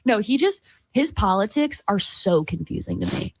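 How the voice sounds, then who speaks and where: A woman's voice: mid-range voice, big pitch swings, normal speed, no room sound. Giggly young woman radio host. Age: young adult. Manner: giggly, rambling, lots of likes and restarts. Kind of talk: casual chat.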